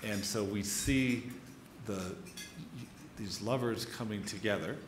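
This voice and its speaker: A man moderating an onstage literary interview, putting questions in deliberate, measured phrases. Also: lowish voice. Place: live onstage event before an audience. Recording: echoey room, clear background noise.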